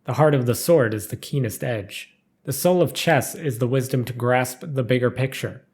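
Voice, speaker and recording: A man's voice: medium pitch, moderate pace, darkish tone. A man reading a scripted story aloud as narrator, with formal, careful delivery.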